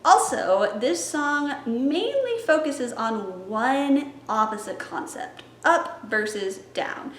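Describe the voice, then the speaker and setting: A woman's voice: sits high, big pitch swings, takes it slowly, hardly any pauses, fairly bright tone. A young woman, a children's librarian, talks through her story time plans and breaks into chanted rhymes and songs. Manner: playful, sings and chants rhymes. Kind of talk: planning story time for viewers. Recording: echoey room with faint music.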